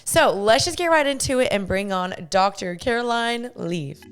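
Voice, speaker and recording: A woman's voice: on the high side, normal speed, faint music behind. A woman in her twenties hosting a podcast, chatty and bubbly, with lots of "like" in her casual talk. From United States. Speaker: podcast host. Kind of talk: casual podcast chat and intro.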